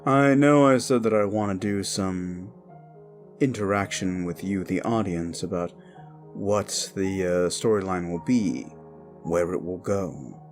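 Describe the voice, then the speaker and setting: A man speaking to his audience as an audio roleplay creator, with theatrical, deliberate phrasing. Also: sits low, faint music behind. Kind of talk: outro addressing the audience.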